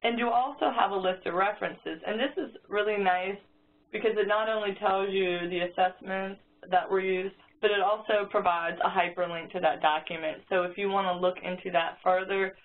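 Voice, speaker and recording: A woman's voice: lowish voice, fairly even intonation, moderate pace. A woman giving a step-by-step walkthrough presentation on a conference call. She often restarts her sentences and uses fillers as she explains. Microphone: phone or conference line. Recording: tinny conference-call phone line with faint noise.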